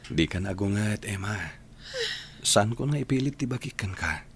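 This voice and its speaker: Deep-voiced, heartbroken young Filipino man. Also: lively intonation, normal speed, a little hiss or hum. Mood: sad, apologetic. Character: Alfred, sad, gentle young man giving up love. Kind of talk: Ilocano radio drama acting.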